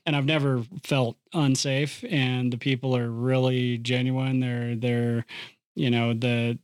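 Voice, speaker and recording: Fishing guide, man, smooth resonant voice, flat pitch, earnest, dry mic. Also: dry recording. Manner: earnest, restarts often. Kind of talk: podcast conversation.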